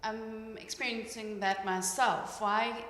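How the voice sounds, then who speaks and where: Slow-spoken German-native woman, echoey hall. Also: a little high, fairly even intonation, a bit bright. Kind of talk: question at a symposium. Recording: echoey hall with long reverb, faint ambience.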